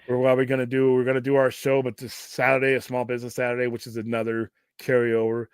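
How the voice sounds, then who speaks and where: A gruff American man hosts a casual question-and-answer livestream, recorded dry and close. He rambles off the cuff in a medium-pitched voice, with casual swearing mixed in. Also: monotone, quick pace, no echo. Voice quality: gruff, self-described Cookie Monster voice. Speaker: host of a pop culture livestream show.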